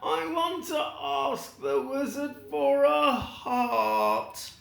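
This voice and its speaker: A storyteller voicing a gentle male tin man in a storybook, asking slowly and plaintively in a high voice. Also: sing-song, creaky, echoey room, heavily acted.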